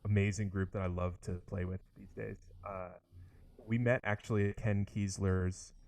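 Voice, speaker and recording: A man's voice: lowish voice, flat delivery, normal speed, a little mellow. A young man, a trombonist, chatting eagerly in an interview, with lots of likes and restarts. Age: young adult. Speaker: orchestral trombonist, interview guest. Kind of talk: casual interview conversation.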